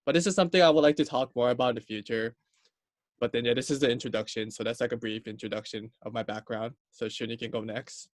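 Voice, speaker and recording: A man's voice: mid-range voice, steady tone, quick pace, no room sound. Upbeat young man, dry close sound. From Hawaii, US. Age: young adult. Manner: upbeat, joking, talkative. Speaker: podcast co-host, student. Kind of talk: casual podcast chat.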